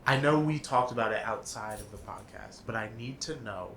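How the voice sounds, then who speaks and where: A man's voice: fairly low pitch, moderate pace, a bit bright. A man hosting a podcast in casual chat, talking with enthusiasm and laughing often. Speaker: podcast host. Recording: roomy with faint background noise.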